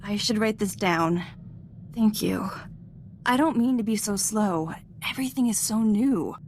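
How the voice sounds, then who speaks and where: Young female Padawan for a game, played by a woman: modest, earnest, slightly hesitant, average pitch, light background noise. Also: unhurried, darkish tone, close to an everyday voice. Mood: earnest, self-doubting. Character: eager, modest young female Jedi apprentice. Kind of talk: scripted video game voice acting.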